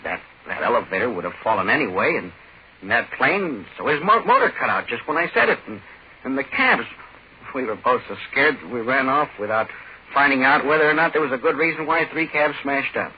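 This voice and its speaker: An actor playing an excitable, nervous middle-aged businessman in 1940s radio drama, stammering and protesting as he talks. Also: mid-range voice, fairly quick, natural voice. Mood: amused, indignant. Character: excitable bald pot-bellied little businessman, nervous and incredulous. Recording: dull, noisy 1940s radio recording.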